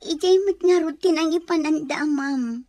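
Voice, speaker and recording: Woman acting as very high-pitched timid housemaid. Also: normal speed, mellow, no room sound, close to an everyday voice. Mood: anxious. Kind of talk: Ilocano audio drama acting.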